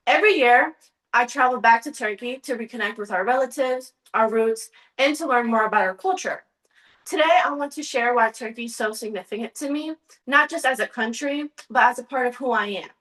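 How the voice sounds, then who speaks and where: A woman's voice: on the high side, brisk, a bit bright, rough recording. A young American woman giving an earnest class presentation with slides, with some restarts and ums. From United States. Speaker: student presenter.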